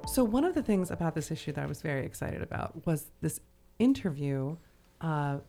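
A woman's voice: low-pitched, very animated, a little fast, mellow, soft background music. A woman hosting a podcast, discussing literature casually in a conversational way peppered with "like" and "you know". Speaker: podcast host.

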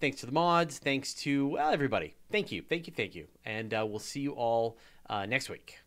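A man with a Canadian accent hosting a live space podcast, relaxed and reading out viewer questions. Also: medium pitch, normal speed. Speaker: podcast host. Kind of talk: casual live Q&A chat.

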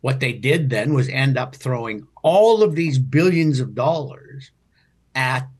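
A Canadian man giving conversational political commentary as a guest, with a husky voice and a halting, rephrasing way of explaining. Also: mid-range voice, lively intonation, darkish tone.

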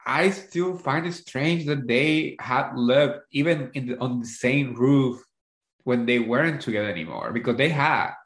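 A man's voice: mid-range voice, measured pace, a bit bright. A man co-hosting a casual, conversational recap chat about television. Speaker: podcast co-host. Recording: roomy, echoing voice recording.

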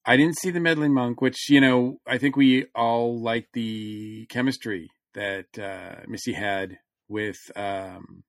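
A man with a mid-range voice talks in a dry, close recording. He is casual and fannish as a podcast co-host, often pausing to hunt for the right word before carrying on. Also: medium pitch, fairly even intonation, no room sound. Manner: halting, searching for words mid-thought. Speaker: podcast co-host discussing science fiction. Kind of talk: casual, fannish panel chat.

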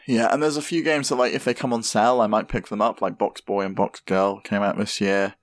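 A man's voice: lowish voice, steady tone, brisk, dry recording, studio quality. A man co-hosting a gaming podcast, chatting in a laid-back, easygoing way. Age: older than his co-host. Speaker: podcast co-host. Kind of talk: casual chat.

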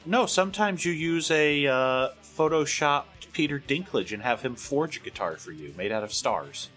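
Brief: male podcast co-host, bright, animated delivery, sarcastic and wry. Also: fairly high pitch, normal speed, soft background music. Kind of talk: casual podcast chat about music history.

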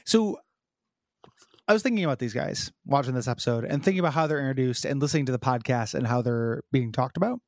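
Man with a froggy voice, halting. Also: mid-range voice, animated, dry recording, clean studio sound. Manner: halting, lots of fillers and restarts. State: froggy voice, talking around a sore tooth. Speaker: podcast co-host. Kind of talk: casual chat.

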